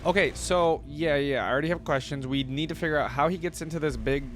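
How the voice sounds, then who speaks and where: A man giving casual reaction commentary, thinking aloud in an animated, exclamatory way. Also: fairly high pitch, quick pace, slight background noise. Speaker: reaction video host. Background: music.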